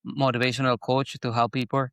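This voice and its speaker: Smooth-voiced Venezuelan man. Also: mid-range voice, level tone, fairly bright tone, dry recording, studio quality. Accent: Venezuelan Spanish. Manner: halting, repeats words and restarts. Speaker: podcast host. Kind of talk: casual interview conversation.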